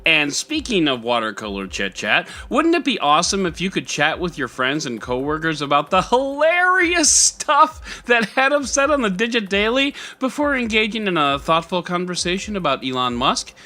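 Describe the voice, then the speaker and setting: Animated, bright-voiced man hosting a podcast. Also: high-pitched, normal speed, few pauses. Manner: jokey, mock-dramatic, sarcastic asides. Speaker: podcast host. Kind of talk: scripted tech news with joking asides.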